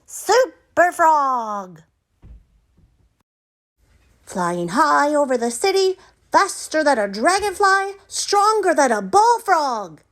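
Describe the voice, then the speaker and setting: Woman as little boy frog, storybook character, excitable, playful, high voice, slow exclamatory delivery. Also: full character voice. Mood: eager, excited. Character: excitable young boy frog, cheeky and eager. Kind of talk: animated children's storybook character voice.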